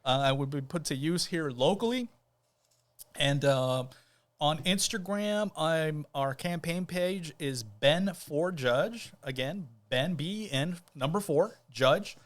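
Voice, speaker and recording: A man's voice: a little high, lively intonation, takes it slowly. A man talking casually as a guest on a podcast, easygoing and rambling, with plenty of fillers and restarts. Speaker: guest, judicial candidate.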